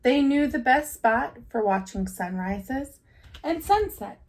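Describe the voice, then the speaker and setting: Higher-voiced woman reading a storybook. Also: animated, takes it slowly, a little mellow. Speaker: teacher reading aloud. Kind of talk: children's storybook read-aloud.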